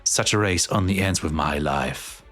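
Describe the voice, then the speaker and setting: A man acting a stern, commanding general in a game fan dub, speaking gravely and formally. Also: low-pitched, unhurried, a bit bright. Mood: grim resolve. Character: stern, commanding male general, proud and unyielding. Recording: background music under the voice.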